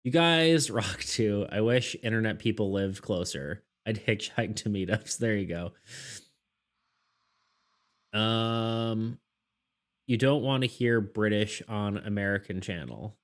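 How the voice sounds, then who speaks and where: Low-voiced man rambling on stream. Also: takes it slowly. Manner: rambling, restarting sentences, laughing often. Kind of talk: casual live-stream chat with viewers. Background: faint background music.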